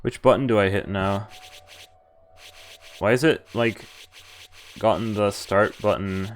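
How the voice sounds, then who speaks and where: A man with a fairly low voice reads a game's story aloud as he streams. His delivery is informal and a little halting, like a live playthrough. Soft game music plays faintly behind him. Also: fairly even intonation.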